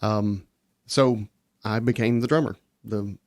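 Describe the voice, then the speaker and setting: A man's voice: lowish voice, fairly even intonation, takes it slowly, darkish tone, no echo. A man guest on a casual podcast, telling long stories with many restarts. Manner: rambling, self-interrupting storyteller.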